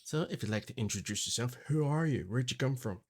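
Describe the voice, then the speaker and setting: A man's voice: medium pitch, expressive, fast talker, a little mellow. Man, podcast host, casual chat, joking, self-deprecating.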